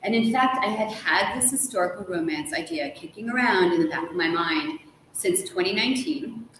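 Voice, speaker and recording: A middle-aged American woman talks in a lively, casual interview style, full of playful storytelling. Her mid-pitched voice rings heavily around a large room, with clear background noise. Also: normal speed. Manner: animated storytelling, quoting people with playful asides. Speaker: author being interviewed. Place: large hotel sitting room, live stream. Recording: echoey room from a distance, noisy.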